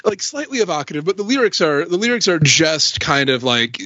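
A man's voice: on the high side, big pitch swings, a little fast, pushed and bright, dry recording, dull audio. A man on a podcast panel, making his points in casual analytical conversation.

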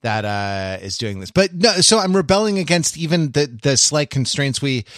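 Higher-pitched man, rambling and wry. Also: animated, fairly bright tone, dry recording, studio quality. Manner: rambling, halting, wry tongue-in-cheek asides. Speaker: podcast co-host. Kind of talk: casual conversational podcast banter.

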